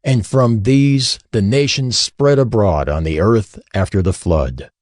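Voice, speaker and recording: A man narrating scripture in a solemn, measured and formal scripted reading. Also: lowish voice, a little mellow, no echo, studio quality. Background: faint music.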